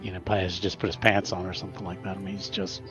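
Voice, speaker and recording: A man playing a tabletop roleplaying game, talking casually and haltingly, on a slightly dull microphone. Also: deep voice, little pitch movement, a bit bright, faint music behind.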